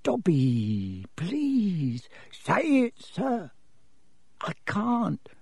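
A man's voice: a little high, sing-song, a little slow, mellow, breathy edge, dry recording, exaggerated voice. A man voicing an earnest young boy, frightened and indignant, in an audiobook. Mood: desperate pleading. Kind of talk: audiobook character voice.

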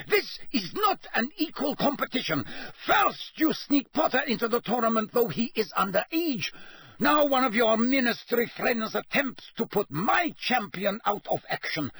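A man's voice: high voice, fairly bright tone, breathy edge, no echo, pitched above the performer's own voice, exaggerated voice. A man voicing a livid, accusing wizard headmaster in an audiobook, indignant and declamatory. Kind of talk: dramatised audiobook reading.

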